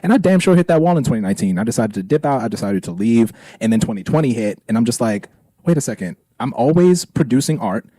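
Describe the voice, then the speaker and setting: A man talking reflectively and casually as an interview guest, expressive and emphatic, circling around his phrases. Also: mid-range voice, quick pace, dry recording, low-quality recording. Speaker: interview guest, performing artist.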